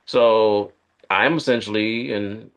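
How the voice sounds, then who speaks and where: An actor plays a guarded man on a date with a fairly low voice. He talks in halting, evasive phrases, like a corporate professional careful about what he reveals, in the conversational style of a screenplay table read. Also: fairly even intonation, fairly bright tone, poor audio. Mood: evasive, explanatory. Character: guarded male corporate operative on a first date. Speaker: actor at a live script read.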